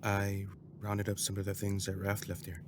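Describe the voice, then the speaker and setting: A man's voice: lowish voice, monotone, normal speed, noisy background, natural voice. A man playing a conflicted, guarded young male agent in an audio drama, earnest and defensive in his delivery. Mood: subdued, careful.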